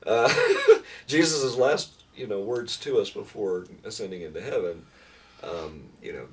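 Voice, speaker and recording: Casual pastor host: middle-aged man, lowish voice, rambling and informal, recorded off-mic with slight noise. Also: very animated, a little slow, vocal fry. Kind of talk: casual conversational chat about faith. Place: small room with foam panels. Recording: distant mic, room noise.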